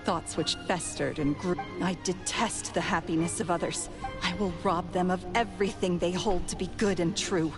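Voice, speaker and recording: A woman's voice: lowish voice, steady tone, normal speed, close to an everyday voice. An actress playing a cunning, bitter female thief in a video game, cool, sardonic and theatrical. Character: cunning, bitter woman, unmasked secret thief. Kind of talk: English video game voice acting. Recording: game music playing behind the voice.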